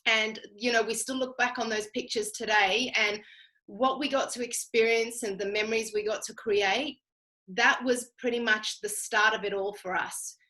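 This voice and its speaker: Australian female, fairly high and sharp-edged, conversational testimonial delivery with plenty of emphasis. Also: fairly even intonation, moderate pace, poor audio. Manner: chatty, emphatic, addresses listeners directly. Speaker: guest speaker telling personal story.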